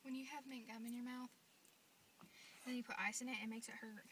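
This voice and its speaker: Young woman, grumbling, reluctant, fairly high voice, outdoor background noise. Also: little pitch movement, a little fast, a bit bright. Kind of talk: casual chat. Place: outdoors in the countryside.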